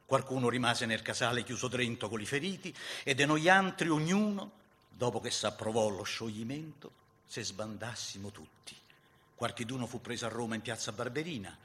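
An actor playing a grieving Garibaldian veteran, reciting dramatic verse with a Roman accent in an impassioned way that swings between hushed and loud. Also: male voice, mid-range voice, long reverb tail. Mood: measured recounting. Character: grieving Garibaldian veteran recounting a bloody battle. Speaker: actor reciting a dialect poem.

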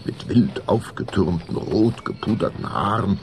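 Deep gravelly male storyteller. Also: unhurried, noisy background. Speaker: narrator. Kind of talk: scripted storytelling narration for a children's radio play.

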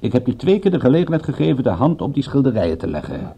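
A man's voice: medium pitch, big pitch swings, fast talker, vocal fry, breathy edge, muffled recording, heavily acted. An actor playing a wry, needling male private detective in a radio drama, sardonic and insistent. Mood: cool, teasing.

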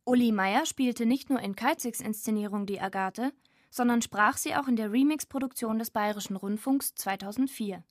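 A woman's voice: a little high, moderate pace, dry recording, studio quality. A woman reading scripted documentary narration as the presenter.

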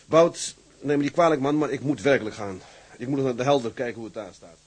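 Guy doing a tired fatherly ship captain in an old radio drama, middling pitch, bit husky. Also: fast, lightly acted. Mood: subdued, earnest. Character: weary, earnest merchant sea captain, fatherly widower. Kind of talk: Dutch radio drama acting.

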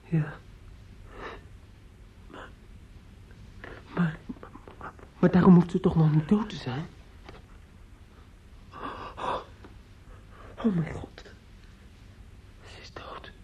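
An actor in a Dutch radio play playing a shocked, brooding young lover, halting and repeating himself in disbelief. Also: male voice, a little high, dark tone, whispered. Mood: shocked, grieving. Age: young adult. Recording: muffled, noisy old radio play recording.

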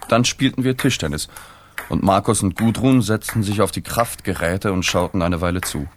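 A man's voice: low voice, normal speed, a little hiss or hum, natural voice. An actor playing a subdued, awkward male journalist who narrates a radio play in an understated, detached way. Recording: music behind the voice.

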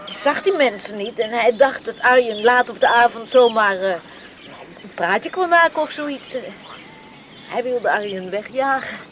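A woman's voice: on the high side, moderate pace, a bit bright, a little hiss or hum, natural voice. A woman acting a chatty, good-humoured young village woman in a Dutch radio play. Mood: confiding.

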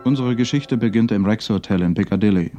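Man playing crime story narrator, deep, dark timbre, measured, suave, vintage radio drama. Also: faint music behind, natural voice. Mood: calm, observant. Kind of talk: scripted narration, 1950s radio crime play.

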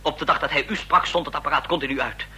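Sharp, fast, brash young agent. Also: male voice, a little high. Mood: urgent, respectful. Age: 30s. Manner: urgent, commanding. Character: brash, urgent 35-year-old male intruder, loyal agent. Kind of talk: Dutch radio drama acting. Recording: faint noise and clicks, old radio recording.

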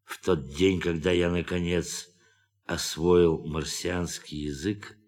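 An actor in an audio drama playing a brooding, idealistic astronaut who has turned killer, speaking in a measured, deliberate way. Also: male voice, low voice, steady tone, a little mellow, big-room sound. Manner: measured, deliberate, long pauses. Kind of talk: Russian audio drama acting.

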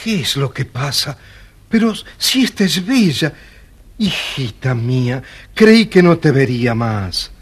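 A man's voice: mid-range voice, sing-song, takes it slowly, breathy edge, full character voice. An actor playing a loving elderly merchant father in a children's audio storybook, speaking with heavy emotion. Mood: astonished, tender.

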